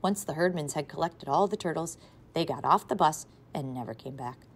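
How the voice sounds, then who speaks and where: A woman teacher reading a children's novel aloud to a class, with expressive, varied loudness. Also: sits low, a little fast, slightly rough. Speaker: third grade teacher.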